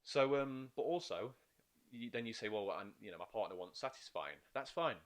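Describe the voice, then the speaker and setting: A man's voice: on the low side, normal speed. A man chatting on a podcast as a life coach, explaining eagerly and acting out inner voices. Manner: animated, explains in bursts with quoted inner voices. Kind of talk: casual podcast chat.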